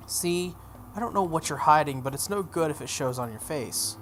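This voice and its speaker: A playthrough host voicing a sharp-tongued, haughty teenage girl mage from a visual novel, brisk and scolding. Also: medium pitch, animated, a little hiss or hum, close to an everyday voice. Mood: dismissive, annoyed.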